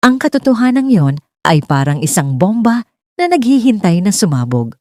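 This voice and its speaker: A woman's voice: mid-range voice, very animated, moderate pace, a little mellow, soft background music. A woman with a Filipino accent narrating a scripted romance story in a dramatic style.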